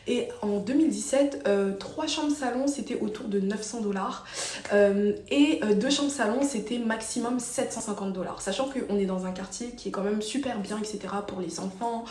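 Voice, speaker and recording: A woman's voice: mid-range voice, darkish tone, slight background noise. A woman talking casually to her channel, telling her story with lots of fillers and restarts. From Ivory Coast. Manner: chatty, explains with many fillers and restarts. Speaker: vlogger. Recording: echoey room, voice a bit far from the mic.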